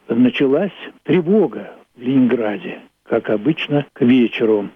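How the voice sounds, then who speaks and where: A man's voice: mid-range voice, breathy edge. Elderly man on a narrow, phone-like line. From Leningrad, Russia. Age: older. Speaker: playwright. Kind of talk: unscripted storytelling, recollection. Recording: muffled, phone-like narrowband audio.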